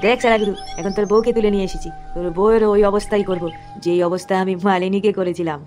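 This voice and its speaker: A woman voicing a boastful, crude male gang boss villain in a homemade cartoon, with loud background music behind her. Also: medium pitch, fairly even intonation, fast talker, close to an everyday voice. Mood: sneering. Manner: gloating, mocking, crude.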